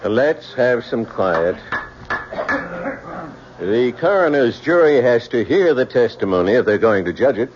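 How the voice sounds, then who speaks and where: An actor in an old-time radio drama playing a stern, formal coroner presiding over an inquest in a deliberate, official manner. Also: male voice, mid-range voice, lively intonation, natural voice. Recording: muffled old radio recording, faint noise.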